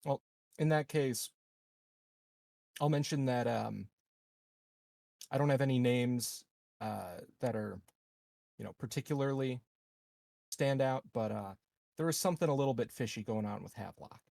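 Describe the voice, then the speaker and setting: A man playing a sardonic colony security operative in a tabletop sci-fi game, speaking bluntly in halting thoughts. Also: medium pitch, creaky, a little mellow, no room sound, studio quality, lightly acted. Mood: wry, suspicious. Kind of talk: in-character tabletop roleplay dialogue.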